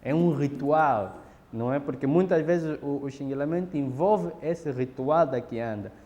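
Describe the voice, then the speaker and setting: Angolan man, documentary interviewee, mellow, reverberant room. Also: on the high side. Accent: Angolan Portuguese. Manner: measured, pauses with fillers and restarts. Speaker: interviewee explaining a ritual. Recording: reverberant room, faint background noise.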